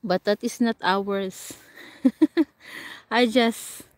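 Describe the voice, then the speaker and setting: A woman's voice: a little high, very slow, dry recording. A woman from the Philippines with a Filipino accent, hosting a casual livestream and chatting with her viewers, answering their comments and laughing often. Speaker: livestream host. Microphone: camera or phone mic set a short way off.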